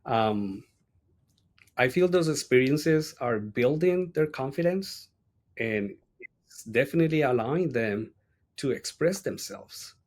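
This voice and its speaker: A man in his late thirties with a Colombian accent, a native Spanish speaker, reflecting on his life as an interview guest in halting, thoughtful phrases. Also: mid-range voice, lively intonation. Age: late 30s. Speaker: interview guest, language teacher. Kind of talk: reflective personal interview talk.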